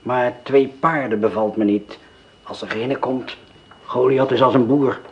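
An actor playing a cold male gang leader in a radio drama, who gives orders in a calm, precise way. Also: mid-range voice, measured pace, slightly rough, lo-fi sound.

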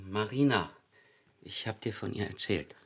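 An actor playing a melancholy, hesitant lover in a naturalistic German radio drama, low-key and awkwardly tender. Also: male voice, animated, normal speed, fairly bright tone, slightly rough, heavily acted.

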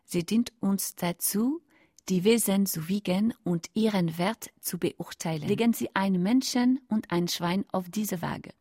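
Macabre cookery hostess for radio drama, female actor, unhurried, breathy, courteous and intimate. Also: mid-range voice, dark tone, dry recording, studio quality, lightly acted. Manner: slow, deliberate, breathy, often near whispering. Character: courteous, macabre female cookery instructor addressing her audience. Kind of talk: intimate scripted radio monologue.

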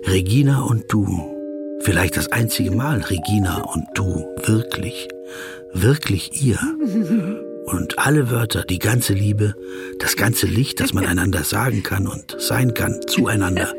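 An actor playing a pensive, insecure German business traveller in a radio play, brooding and wordy, sometimes awkwardly polite. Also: male voice, fairly low pitch, big pitch swings, measured pace, gravelly, music in the background, exaggerated voice. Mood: brooding, wistful. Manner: brooding inner monologue, shifting to awkward small talk. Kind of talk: dramatised literary monologue, radio play.

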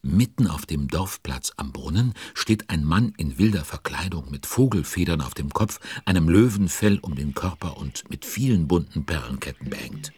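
A man's voice: very deep voice, lively intonation, normal speed, few pauses, a little mellow, husky, no room sound, exaggerated voice. A man acting as the kindly narrator of a children's audio play, telling the story in a cosy, confiding way. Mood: intrigued.

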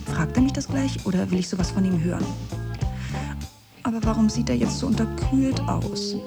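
A woman playing a brooding, watchful writer in a radio drama, thinking aloud in a reflective, poetic, measured way. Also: a little high, gravelly, over music. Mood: wary, wondering. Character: brooding woman writer, watchful, searching, partner to a secretive man. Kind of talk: introspective radio drama monologue and dialogue. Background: musical instruments under the voice.

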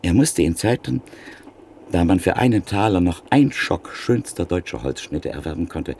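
An actor in a classic radio play playing a middle-aged antique print dealer who tells a story in a measured, reflective way. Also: male voice, sits low, expressive, a little mellow, a little hiss or hum. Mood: thoughtful. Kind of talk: classic radio drama acting.

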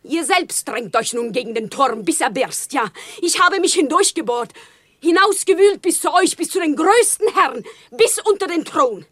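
A woman's voice: high voice, animated, moderate pace, a bit bright, no echo, studio quality. An actress playing an impassioned, naive commoner woman who pleads solemnly and fervently in a German radio play. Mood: fervent, accusing. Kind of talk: German radio play, theatrical stage diction.

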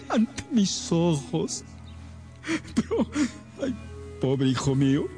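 Slow, lamenting gentleman father. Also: high voice, huge pitch swings, soft background music, heavily acted. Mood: anguished disbelief. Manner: melodramatic, lamenting, exclaiming. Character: anguished, remorseful father, a wronged gentleman. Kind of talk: radio drama acting.

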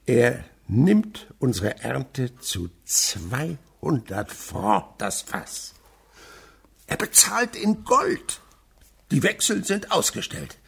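A sly old miser of a winegrower, with a husky, crackling voice that leaps and plunges in pitch. He takes his time, gloating and ordering his household about as a domineering radio-play father. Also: very animated, very slow, a bit bright, exaggerated voice. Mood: gleeful, gloating. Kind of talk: radio drama acting.

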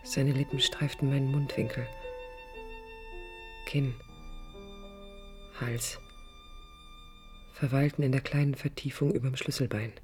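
An actress playing a wistful, jealous young dreamer in love in a 1980s radio play, speaking in a hushed, introspective way. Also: female voice, rumbling low, steady tone, measured pace, long pauses. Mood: hushed longing. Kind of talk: 1980s radio play, intimate first-person narration. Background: music.

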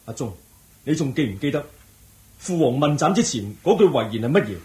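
A man's voice: mid-range voice, expressive, brisk, mellow, close to an everyday voice. A man acting a young exiled prince bent on avenging his father, declamatory and emotional, in a radio drama. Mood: sombre, relenting. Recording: echoey radio drama recording.

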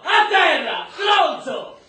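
An actor playing a brutal prison guard barking orders in a radio drama. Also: male voice, extremely high pitch, sing-song, very slow, pushed and bright, shouting, full character voice. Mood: furious. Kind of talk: stage-style radio drama acting. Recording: echoing, distant, with faint music and crowd.

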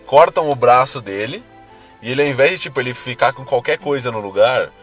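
A man's voice: fairly quick, faint music behind. A man with a Brazilian Portuguese accent, co-hosting a casual film-review podcast and talking animatedly with plenty of swearing. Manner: lively, animated, swears freely, jumps into high-pitched outbursts. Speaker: podcast co-host.

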